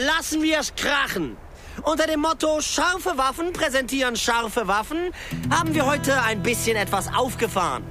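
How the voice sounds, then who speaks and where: A man's voice: extremely high pitch, pushed and bright, full character voice. An actor in a German radio crime drama playing a brash, foul-mouthed porn producer with a swaggering showman's manner.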